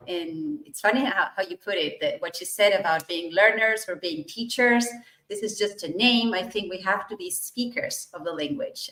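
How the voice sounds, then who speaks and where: Enthusiastic Argentine teacher, echoey room. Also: female voice, fairly low pitch, a bit bright. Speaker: English teacher, guest. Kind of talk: casual interview answers.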